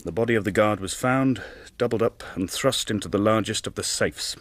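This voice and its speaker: An actor playing a brisk, formal Victorian English police inspector in a radio drama, speaking deliberately as if giving an official report. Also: male voice, on the low side, no room sound, lightly acted. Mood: official, grave.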